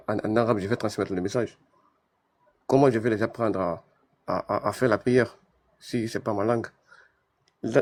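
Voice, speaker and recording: A man's voice: fairly low pitch, a little mellow, dry recording. A man in a live discussion who argues his point with many repeated words and restarts. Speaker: debate panelist.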